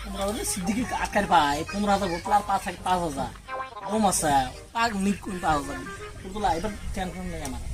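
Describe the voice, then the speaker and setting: Guy playing a combative village political hanger-on, really high fast voice, northern bengali accent, scornful, music behind. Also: expressive. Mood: muttering, calculating. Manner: scornful, rapid-fire challenging questions. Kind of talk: comedy skit acting in village dialect.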